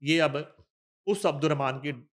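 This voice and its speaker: A man with a Pakistani accent and a slightly high-pitched voice presents news commentary. He reads written text with deliberate emphasis, then drops into conversational asides as a show host would. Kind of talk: news commentary, reading an article aloud with asides.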